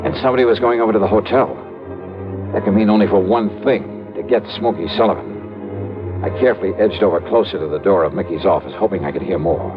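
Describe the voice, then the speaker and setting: An actor playing a shrewd, dry-witted insurance investigator in an old radio drama, speaking in a clipped, wry way. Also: male voice, deep voice, fast talker, lo-fi sound, lightly acted. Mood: wry, tense narration. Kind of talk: 1940s-50s radio detective acting. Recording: band-limited old radio recording, faint music.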